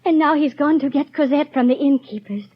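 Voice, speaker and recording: A woman's voice: high-pitched, fairly quick, close to an everyday voice. An actress playing a dying young mother in a 1930s radio drama, breathless and often whispering, weak from illness. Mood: whispered longing. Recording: dull lo-fi 1930s radio broadcast, faint hiss.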